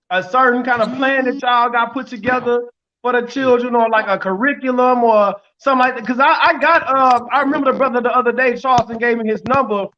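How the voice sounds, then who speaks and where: High-voiced Memphis man on a dull line. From Memphis, Tennessee. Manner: affirming, chimes in with agreement. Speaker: live stream guest. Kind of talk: casual live stream chat.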